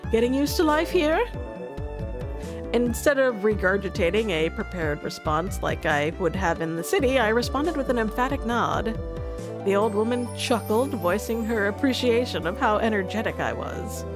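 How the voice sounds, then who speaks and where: A woman's voice: mid-range voice, expressive, normal speed. A woman reading a story game aloud on a live stream, narrating expressively and acting out the characters. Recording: background music behind the voice. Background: game music.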